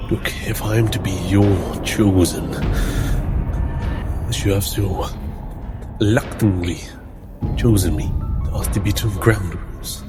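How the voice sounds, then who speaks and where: Low-voiced man roleplaying earnestly over loud music. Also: steady tone, measured pace, long pauses. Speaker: tabletop roleplay player. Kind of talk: in-character improvised roleplay dialogue.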